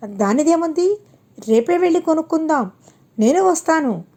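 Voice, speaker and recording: A woman's voice: high voice, animated, normal speed, dark tone, pitched above the performer's own voice. A woman voicing a generous, humble older family patriarch in a reassuring, kindly manner for an audio storybook. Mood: agreeable.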